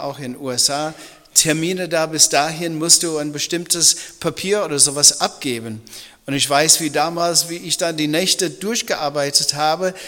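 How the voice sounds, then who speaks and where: A middle-aged man, a native English speaker, preaching as a pastor in a halting, reflective, personal manner. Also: a little high, sharp tone. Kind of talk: sermon in German. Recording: long reverb of a large hall.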